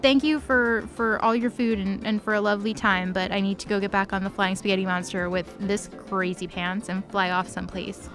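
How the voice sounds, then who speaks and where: A woman's voice: mid-range voice, steady tone, a little fast, hardly any pauses, music in the background, close to an everyday voice. A woman voicing a hungry, fun-loving little girl who is chatty and whiny, in a comedic recap. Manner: chatty, plaintive.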